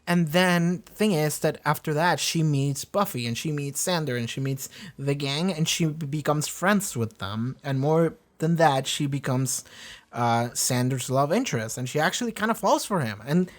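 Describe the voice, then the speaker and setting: Man rambling casually, higher voice. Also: normal speed. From Argentina. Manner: loose, swearing, many fillers and restarts. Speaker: reaction video host.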